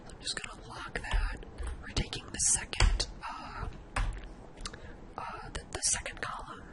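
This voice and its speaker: Someone whispers a tutorial in an ASMR style, casually thinking aloud while working through each step. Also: rough recording. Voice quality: breathy unvoiced whisper. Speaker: host of an ASMR spreadsheet tutorial.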